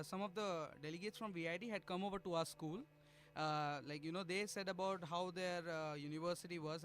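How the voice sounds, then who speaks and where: A man's voice: high voice, a bit bright, slight background noise. A young man from Bangladesh, a student band's synth player, answering in a friendly way with light laughs and fillers. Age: young adult. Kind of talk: radio interview guest.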